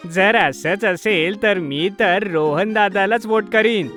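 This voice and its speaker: A man's voice: high-pitched, slow, bright, forceful tone, faint music behind, heavily acted. A man playing a cheeky, self-interested young schoolboy in a children's cartoon. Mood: self-satisfied. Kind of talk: Marathi children's cartoon dialogue.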